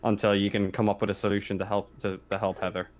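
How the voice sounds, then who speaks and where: A man acting as game master in a casual tabletop game, talking haltingly and often restarting his phrases. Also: fairly low pitch, little pitch movement, darkish tone.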